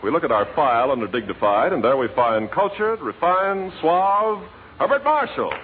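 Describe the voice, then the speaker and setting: Male announcer, rather high and animated, 1940s variety style, on a tinny, very noisy broadcast. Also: moderate pace. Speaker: announcer, master of ceremonies. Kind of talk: scripted 1940s radio introductions and banter. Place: stage before a live audience.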